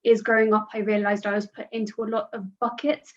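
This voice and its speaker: A woman's voice: mid-range voice, little pitch movement, fairly quick. A young woman hosts a podcast and introduces her guests in a polished, prepared manner. English is not her first language. Her voice sits mid-range, and the audio is dull and lo-fi. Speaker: host. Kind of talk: podcast hosting.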